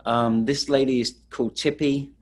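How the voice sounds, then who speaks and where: A man's voice: medium pitch, steady tone, normal speed. A middle-aged man from England, casually telling stories as a street photographer, rambling with fillers. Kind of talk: casual presentation.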